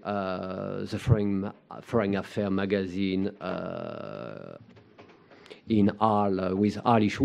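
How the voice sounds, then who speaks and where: A man's voice: on the low side, gravelly, mellow, highs cut off. A man from New York introducing the speakers at a conference, hesitant and full of fillers. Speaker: event organizer. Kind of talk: formal introduction.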